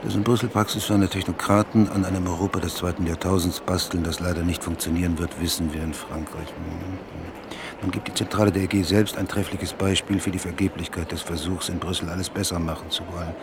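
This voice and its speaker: A man's voice: deep voice, noisy background. An actor playing a man muttering as he reads a newspaper aloud.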